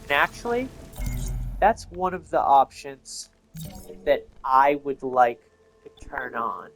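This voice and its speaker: A man's voice: mid-range voice, big pitch swings, takes it slowly, a bit bright, soft background music. A man giving casual, rambling, explanatory commentary as he plays through a video game. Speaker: gamer narrating a playthrough.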